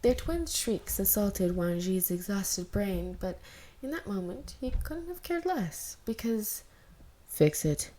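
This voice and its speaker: A woman's voice: medium pitch, big pitch swings, slow, dark tone. A woman who is an amateur podfic reader, talking informally and narrating fan fiction.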